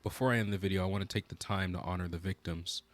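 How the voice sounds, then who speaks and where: A man's voice: deep voice, steady tone, quick pace, a little hiss or hum. A man narrating a scripted documentary in a solemn, dramatic, suspenseful way. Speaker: video narrator, channel host. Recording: loud music under the voice. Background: loud music.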